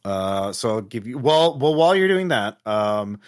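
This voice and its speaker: A man with a fairly low voice acts as game master for a tabletop horror game. He improvises his narration in a halting way, working out his sentences as he speaks. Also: big pitch swings, smooth. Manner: halting, thinking aloud.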